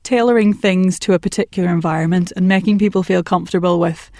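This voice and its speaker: Woman voice coach chatting on a podcast, slightly low voice, really dry close sound. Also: quick pace, no echo. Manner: chatty and animated, laughs often, puts on voices for anecdotes. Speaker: voice and accent coach. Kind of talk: conversational podcast interview. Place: work commute between Manchester and Liverpool.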